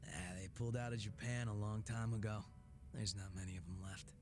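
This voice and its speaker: An actor playing a cocky, laid-back former gang boss in a video game, teasing and sardonic with a languid drawl and casual swearing. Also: male voice, fairly low pitch, steady tone, a little fast, music in the background, lightly acted. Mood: jaded. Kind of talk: English video game voice acting.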